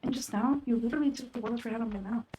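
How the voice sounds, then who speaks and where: A woman's voice: fairly high pitch, very fast, dark tone, slight background noise, close to an everyday voice. A woman voicing a tough, frank desert mercenary woman in a video game. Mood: skeptical. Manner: frank, no-nonsense.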